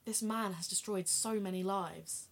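Woman playing a mid-pitched podcast co-host. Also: steady tone, measured pace, creaky. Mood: sober. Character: female co-investigator on a true-crime podcast. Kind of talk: scripted podcast drama dialogue.

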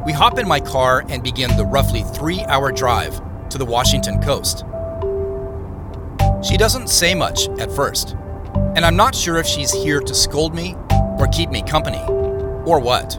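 A man's voice: medium pitch, expressive, a little slow, loud music behind the voice, natural voice. An actor playing a reflective man who narrates his own story in an audio drama, matter-of-fact and confiding. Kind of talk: first-person scripted drama narration.

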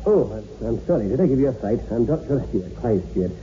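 A man playing a courteous, brisk village doctor in a 1960s radio horror drama, with a formal, solicitous manner. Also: medium pitch, sing-song, music in the background, lightly acted. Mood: apologetic, courteous. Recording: muffled old radio recording, faint hiss.

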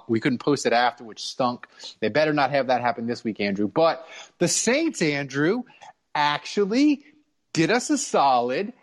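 A man hosting a sports podcast in casual chat, talking in a chatty, emphatic, enthusiastic way. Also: fairly high pitch. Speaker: podcast host.

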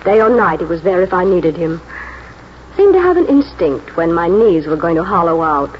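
A woman's voice: fairly low pitch, big pitch swings, moderate pace. An actress playing a proud, reflective middle-aged village mother in a 1940s radio drama. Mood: wistful pride. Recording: muffled hissy 1940s radio recording.

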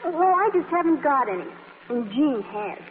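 A tinny, echoing old radio recording of a young small-town woman. Her high, girlish voice moves up and down freely, earnest and wistful. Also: very animated, normal speed, a little hiss or hum. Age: young adult. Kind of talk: 1940s radio acting.

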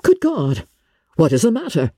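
A woman voicing a startled, well-bred gentleman in a classic novel reading, grave and solicitous. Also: low-pitched, wildly swooping pitch, moderate pace, slightly rough, no echo, pitched below the performer's own voice, heavily acted. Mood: alarmed concern.

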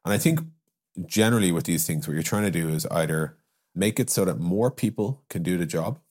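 A man's voice: sits low, lively intonation, fairly quick, a little mellow. A man explaining his views informally in a casual business discussion, with frequent asides and examples. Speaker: podcast guest, software company strategist.

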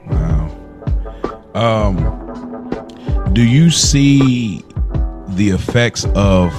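A man with an American accent and a fairly low voice hosts a casual podcast interview. He puts informal, probing questions in a conversational way, with faint music behind him. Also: lively intonation, extremely slow. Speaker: podcast host.

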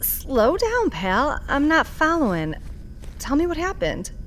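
Slow, swooping, sardonic woman fixer. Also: sits high, a little hiss or hum, heavily acted, low-quality recording. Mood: wry. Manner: sardonic, unhurried, expressive. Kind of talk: audio fiction acting, noir sci-fi.

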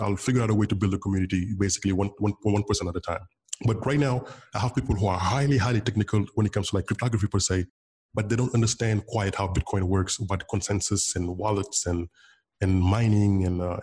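Fast-talking low-voiced man from Senegal. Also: darkish tone. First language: Wolof. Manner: many restarts and repeated words, earnest. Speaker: guest, Bitcoin developer. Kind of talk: casual interview conversation.

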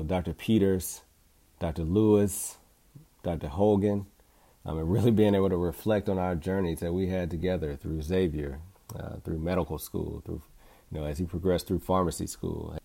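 A man's voice: deep voice, gravelly, warm tone. A middle-aged man hosting a podcast, speaking in an earnest, encouraging way in a reflective motivational monologue. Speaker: podcast host.

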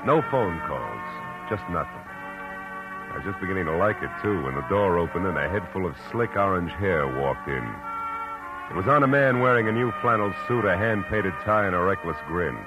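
An actor playing a hard-boiled, world-weary private detective in a 1940s radio drama, terse, wry and tough-talking. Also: male voice, low-pitched, animated, moderate pace, muffled recording. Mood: wry, laconic. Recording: vintage radio recording, music underneath.

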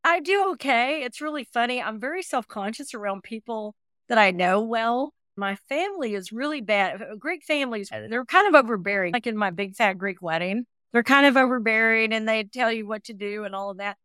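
A woman's voice: a little high, animated, fairly quick, a bit bright, smooth, no room sound, studio quality. A woman in her sixties telling personal stories as an interview guest, earnest and talkative. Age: 60s. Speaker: interview guest, adoptee advocate. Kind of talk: conversational personal storytelling.

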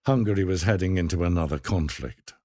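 A man reading a scripted documentary narration in a measured, dramatic storytelling manner. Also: deep voice, mellow, no echo.

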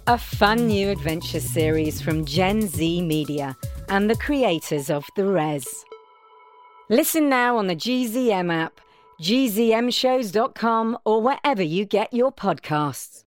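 A woman's voice: medium pitch, expressive, a little slow, faint music behind. A woman announcer reading a scripted promo for a podcast.

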